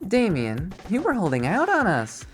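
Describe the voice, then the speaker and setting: A streamer voicing a brash, excitable, foul-mouthed young woman in a visual novel. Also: medium pitch, moderate pace, soft background music, pitched above the performer's own voice. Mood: teasing, excited.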